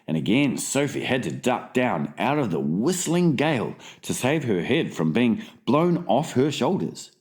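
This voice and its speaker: Measured male storybook narrator. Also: mid-range voice, a little mellow. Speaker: audiobook narrator.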